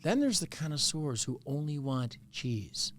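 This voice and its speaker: Middle-aged podcast host, Chicago accent, rambling and jokey, slightly high voice. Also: male voice, big pitch swings, unhurried. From Evanston, Illinois, US. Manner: rambling, jokey, full of fillers. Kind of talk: casual comedy chat.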